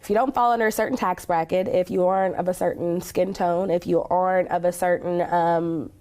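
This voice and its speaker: Earnest American woman, slightly low voice. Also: steady tone, brisk, darkish tone. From Kentucky, United States. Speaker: interview guest, community advocate. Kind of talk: conversational interview answers.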